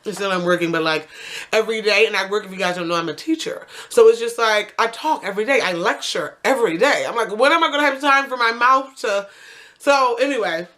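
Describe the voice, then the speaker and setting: A woman's voice: medium pitch, fairly quick, sharp tone. A woman in her twenties casually telling a rambling personal story to her camera, correcting herself as she goes. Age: 20s. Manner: chatty, rambling, self-correcting with frequent fillers. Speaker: vlogger.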